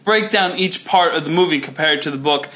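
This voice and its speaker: A man's voice: on the high side, fairly quick, pushed and bright. A man in his thirties co-hosting a podcast, rambling with lots of fillers and restarts. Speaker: podcast co-host. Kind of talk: casual chat.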